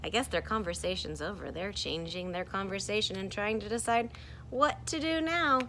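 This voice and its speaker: A woman teaching kindergartners in a read-aloud lesson, speaking expressively and pausing to ask questions. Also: mid-range voice, a little hiss or hum. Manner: expressive, asks questions and pauses for answers.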